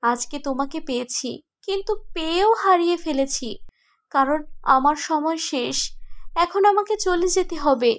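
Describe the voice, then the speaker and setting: A woman playing a gentle, wistful princess ghost in a cartoon, speaking earnestly and solemnly. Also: very high voice, animated, measured pace. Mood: sorrowful farewell. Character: gentle ghost princess, seven hundred years dead, dutiful, wistful. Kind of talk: cartoon voice acting.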